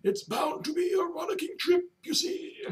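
Very high-pitched, theatrical old storyteller. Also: male voice, big pitch swings. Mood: welcoming, theatrical. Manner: dramatic, halting, grandiose. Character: whimsical, doddering, very old male storyteller, theatrical. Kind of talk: comedic in-character storytelling to camera. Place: small home room, indoors. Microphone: webcam at desk distance. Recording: distant webcam microphone.

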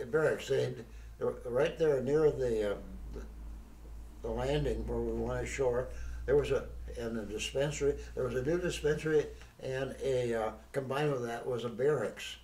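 An elderly man in an oral history interview, recalling his past in a halting, rambling way with many restarts. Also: mid-range voice, dark tone. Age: older. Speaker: veteran interviewee. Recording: roomy, distant mic.

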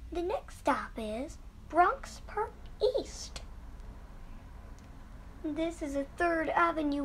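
Female transit announcer, very high voice, measured scripted stop calls, noise behind. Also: poor audio. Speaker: subway train announcer.

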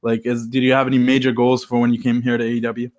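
20s guy hosting a chill interview, talks quick, chatty. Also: medium pitch, monotone, darkish tone. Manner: quick friendly interjections, laughs along. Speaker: podcast host. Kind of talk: casual interview chat. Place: indoor home room.

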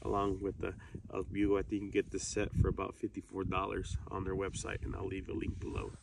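Low gravelly man in noisy outdoor recording. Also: completely flat delivery. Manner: halting, unscripted delivery. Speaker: video host, hunter reviewing gear. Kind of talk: casual product review to camera. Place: outdoors on an open grassy hillside. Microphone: camera a few metres away. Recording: noisy outdoor recording, distant camera mic.

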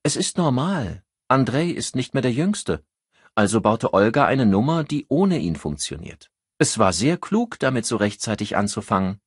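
A guarded circus artiste, voiced by a male audiobook reader, answers questions with cool, evasive mockery. The tone is husky and lifted, calm under pressure in a crime novel. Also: medium pitch, normal speed, dry recording, clean studio sound, pitched above the performer's own voice.

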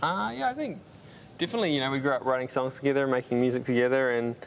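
A man's voice: mid-range voice, racing, a little hiss or hum. Easygoing man with a Kiwi accent. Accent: New Zealand. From New Zealand. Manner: easygoing, rambling, laughs often. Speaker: band member guest. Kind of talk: casual TV interview chat. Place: TV news studio.

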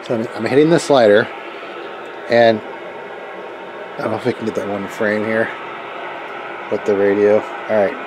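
A man's voice: lowish voice, a little slow, long pauses. An American man giving a casual, rambling hands-on demonstration, tentative and informal as he thinks aloud. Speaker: hobbyist video maker demonstrating a radio setup. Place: open garage workbench. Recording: steady ambient noise, home garage camera audio.

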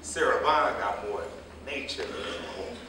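An actor playing a stubborn, teasing cab driver, conversational and contrary in a staged play reading. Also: male voice, medium pitch, expressive, normal speed, background noise, close to an everyday voice. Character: stubborn, teasing jitney driver debating women's looks. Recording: echoey hall, distant microphone.

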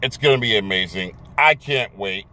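American man vlogging, halting and emphatic. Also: on the low side, sharp tone. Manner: halting, emphatic, repeats words. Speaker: YouTube vlogger. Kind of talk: casual commentary to camera. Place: inside a car in daylight. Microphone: phone or camera at arm's length.

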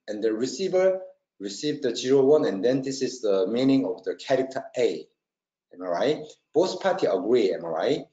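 Mellow man lecturing, dull roomy sound. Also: medium pitch. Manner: halting non-native English, often adds "am I right?". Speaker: webinar presenter, company marketing trainer. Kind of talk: technical webinar lecture. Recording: roomy, dull lo-fi webinar audio.

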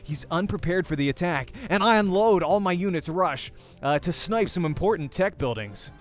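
A young man with a slightly high voice narrates a visual novel for a let's play. He reads in the first person and tells it dramatically, like a story. Faint music runs underneath. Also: expressive, normal speed.

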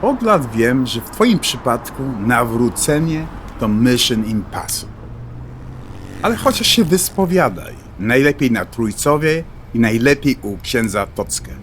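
Lively, teasing middle-aged devout friend. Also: male voice, medium pitch, measured pace, a little hiss or hum. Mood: earnest, persuasive. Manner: colloquial, teasing. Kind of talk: dialogue acting in an audio drama.

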